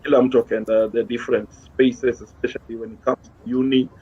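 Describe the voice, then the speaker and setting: A man's voice: mid-range voice, flat delivery, brisk, a little mellow. A middle-aged man with a Kenyan accent chatting casually as an interview guest, mixing languages and repeating words for emphasis. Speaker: interview guest, gospel musician. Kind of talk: casual chat, code-switching. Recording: dull lo-fi sound with clear background noise.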